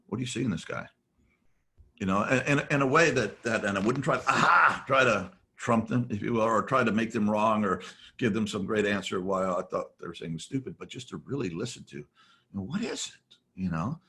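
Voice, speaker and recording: A man's voice: fairly low pitch, fairly quick, pushed and bright, slightly rough. A middle-aged man, a podcast guest, talking reflectively and rambling, with many restarts and "you know"s. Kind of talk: reflective conversational talk.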